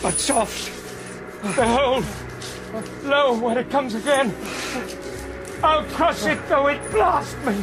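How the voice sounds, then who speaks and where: An actor playing an earnest scholar shaken by a ghost in a Shakespearean radio drama, with theatrical, measured verse delivery. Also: male voice, extremely high pitch. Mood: alarmed, commanding. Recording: noisy ambient background.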